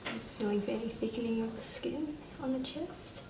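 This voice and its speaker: A woman's voice: fairly high pitch, normal speed. A woman speaking tentatively and politely as an exam candidate, examining a patient and presenting clinical findings. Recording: echoey, distant room recording with noise.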